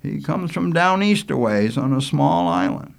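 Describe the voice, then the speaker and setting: A man's voice: a little high, animated, measured pace, vocal fry, voice pushed up, exaggerated voice. A man voicing an easygoing, teasing young coastal fellow with colloquial, folksy speech in a storybook reading.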